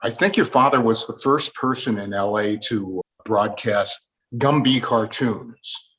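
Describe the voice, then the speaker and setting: A man's voice: lowish voice, a little slow, a bit bright, low-quality recording. An older man hosting a casual interview, chatting conversationally with his guests. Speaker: interview host.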